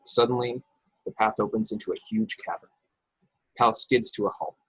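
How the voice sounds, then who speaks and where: A man with a husky voice reading stage directions as narrator of a script table read over a video call. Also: lowish voice, fairly even intonation, moderate pace, fairly bright tone, no echo, poor audio. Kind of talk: scripted narration with occasional stumbles.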